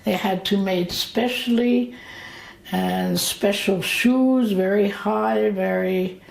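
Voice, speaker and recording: An elderly woman in her late eighties recalls the past slowly, in a gravelly voice. She halts often and fills the gaps with pauses. The room echoes around her. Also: mid-range voice. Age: late 80s. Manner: halting, with frequent fillers and pauses. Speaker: interviewee. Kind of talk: slow, reflective memories. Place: indoors. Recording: roomy echo.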